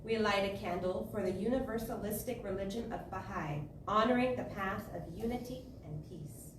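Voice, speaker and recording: Female service leader for spoken prayer: solemn, measured phrasing, roomy echo, noticeable background noise. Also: medium pitch. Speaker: service leader reading candle lighting and prayer. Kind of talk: spoken liturgy and guided prayer. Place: live service in a spiritual center. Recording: echoey room, distant mic, clear background noise.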